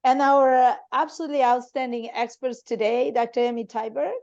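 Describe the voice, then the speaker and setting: High-voiced woman moderating over dull audio. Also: fairly even intonation, brisk, a little mellow. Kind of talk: introducing speakers.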